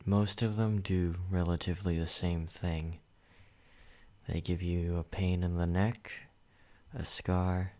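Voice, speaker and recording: A man playing a slow, deep-voiced vampire. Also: dark tone. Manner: slow, low and measured, addressing the listener. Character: calm possessive male vampire host. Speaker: ASMR roleplay creator. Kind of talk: ASMR roleplay monologue.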